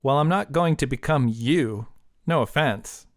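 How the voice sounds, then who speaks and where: A man's voice: medium pitch, a little slow, natural voice. A man voicing an anxious, incredulous young woman who pleads and protests with exasperation in an audiobook story. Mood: disbelieving.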